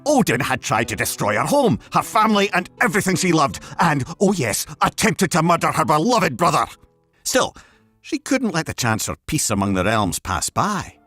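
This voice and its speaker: A man's voice: a little high, big pitch swings, normal speed, bright, forceful tone. A man narrating a scripted mythological tale in a theatrical, emphatic, dramatic storytelling style. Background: background music.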